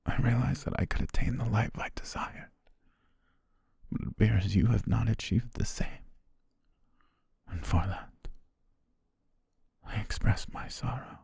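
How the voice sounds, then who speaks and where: A man voicing a dying young butler, loyal and tender, who speaks in hushed, halting words broken by weak breathy laughs as his strength fades, recorded on a close mic for an ASMR roleplay. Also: lowish voice, dry recording, exaggerated voice. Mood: tender farewell. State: fading, short of strength. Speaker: voice actor.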